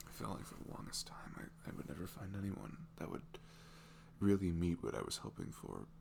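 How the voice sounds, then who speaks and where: A man acts out a smitten young boyfriend in an intimate ASMR monologue. His voice is very low with a heavy fry at the edges. He muses in halting, vulnerable phrases that often soften to near-whispers. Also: big pitch swings, noisy background, heavily acted. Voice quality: low, creaky voice, often dropping toward a whisper. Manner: halting, musing, frequent "you know" and soft chuckles. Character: smitten, vulnerable young neurodivergent boyfriend meeting online love. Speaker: ASMR audio roleplay performer.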